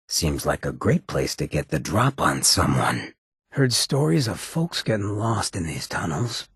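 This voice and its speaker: An actor voicing a cocky, roguish, streetwise ghoul companion in a video game, with a very raspy, gravelly voice and a sly, laid-back swagger. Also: male voice, low-pitched, dry recording, clean studio sound, exaggerated voice.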